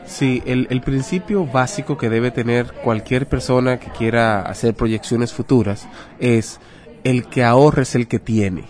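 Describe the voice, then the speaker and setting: A man's voice: medium pitch, fairly even intonation, measured pace, darkish tone, faint music behind. Didactic Dominican man explaining patiently. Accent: Dominican Spanish. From Dominican Republic. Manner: didactic, uses rhetorical questions and examples. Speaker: guest, personal finance adviser. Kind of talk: interview, explaining advice.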